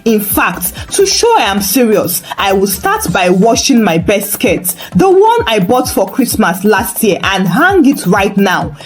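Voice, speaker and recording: A woman's voice: medium pitch, sing-song, measured pace, few pauses, faint music behind. A woman voicing a flustered, self-righteous young woman accused of theft in a folktale, with theatrical protest. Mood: confident.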